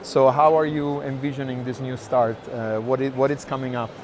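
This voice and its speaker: A man's voice: mid-range voice, little pitch movement, moderate pace. Man hosting, noisy background. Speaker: host, curator. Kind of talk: casual interview conversation. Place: art fair, on the move. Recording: outdoor-like urban background noise.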